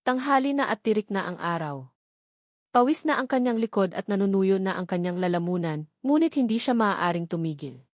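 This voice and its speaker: A woman narrating a scripted love story in a measured, descriptive storytelling manner. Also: medium pitch, animated, no room sound, clean studio sound.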